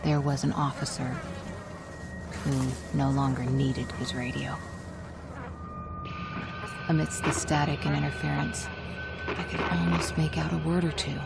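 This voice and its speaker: Hardened woman survivor, creaky voice, restrained pitch, brooding game cutscene narration, loud music behind. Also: steady tone, a little slow, long pauses, heavily acted. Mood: tense, weary. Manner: brooding, terse, dramatic. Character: hardened, world-weary woman survivor of a zombie outbreak.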